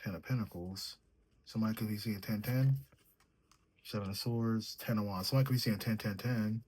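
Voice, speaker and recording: A man with a mellow, sleepy way of talking, reading tarot cards and thinking aloud as he draws them. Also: on the low side, fairly even intonation, a little fast, husky. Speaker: tarot reader. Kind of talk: solo tarot reading, casual chat.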